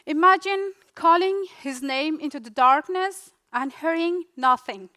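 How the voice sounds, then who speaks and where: A young Afghan woman with a Dari-speaker's accent gives a rehearsed talk about her own life. Her voice sits high, and she lets each short phrase land in its own slow, unhurried beat. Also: sharp tone. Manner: slow, deliberate delivery in short dramatic phrases with pauses. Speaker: refugee speaker. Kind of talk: rehearsed personal storytelling speech.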